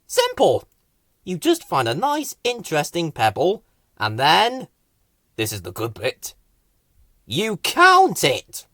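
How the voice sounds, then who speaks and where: A man's voice: a little high, huge pitch swings, takes it slowly, long pauses, sharp tone, no echo, clean studio sound, heavily acted. A man voicing a polite, earnest garden worm from a children's storybook, keenly explaining things. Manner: keen, explanatory.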